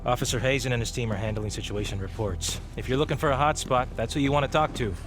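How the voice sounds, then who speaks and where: A man's voice: mid-range voice, fast talker, music in the background, natural voice. An actor playing a businesslike, urgent male officer in a video game cutscene.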